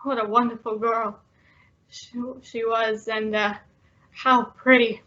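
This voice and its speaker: A simple, good-hearted tough guy's sidekick played by a woman, high in pitch. The talk is slangy, shy and halting, in the style of a radio play with muffled sound. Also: level tone, sharp tone. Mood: bashful. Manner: hesitant, slangy, deferential. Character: simple, loyal, bashful tough-guy male sidekick. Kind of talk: old-time radio drama acting. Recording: dull, muffled lo-fi audio.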